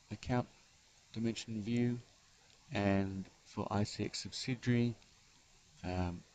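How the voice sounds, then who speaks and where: Male software presenter, low voice, halting step-by-step walkthrough, unscripted screencast delivery. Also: slight background noise, poor audio. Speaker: software developer giving a demonstration. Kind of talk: unscripted screencast tutorial narration.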